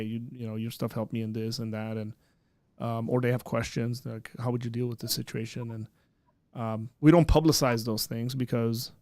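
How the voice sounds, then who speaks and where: A man's voice: medium pitch, gravelly, no echo, clean studio sound. A man in his thirties hosting a live stream in casual chat, rambling with many fillers and restarts. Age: 30s. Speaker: host of a live birthday stream. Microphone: streaming setup with green screen.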